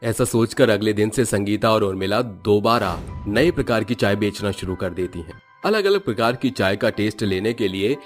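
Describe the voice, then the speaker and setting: A male narrator reads a cartoon moral story in a steady, matter-of-fact way. His voice sits on the low side, and faint music plays underneath. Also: moderate pace, few pauses.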